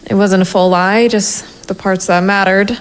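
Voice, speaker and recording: A woman's voice: medium pitch, flat delivery, moderate pace, smooth, close to an everyday voice. A woman playing a hardboiled, sardonic private investigator in a noir audio drama, with a wry, self-mocking manner. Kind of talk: first-person noir audio drama narration and dialogue.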